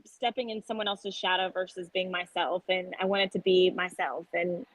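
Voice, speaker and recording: A young woman from South Texas chats earnestly as an interview guest. She restarts her phrases often and keeps talking quickly. The sound is dry and close, with no room echo. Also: fairly low pitch, steady tone, fairly bright tone. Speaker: singer-songwriter guest. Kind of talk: casual interview conversation.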